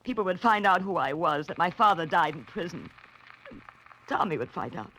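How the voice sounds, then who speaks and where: A woman's voice: lowish voice, lively intonation, moderate pace, sharp tone. A woman playing a guarded, desperate woman in her thirties in a 1940s radio melodrama, with a dramatic delivery. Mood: anguished pleading. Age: 30s. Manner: dramatic, haughty turning to pleading. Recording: faintly noisy vintage 1940s radio recording.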